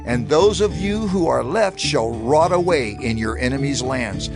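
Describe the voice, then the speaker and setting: Brief: male scripture reader, declamatory and lively in pitch, clear music bed underneath. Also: measured pace. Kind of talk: solemn dramatized scripture reading. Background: background music.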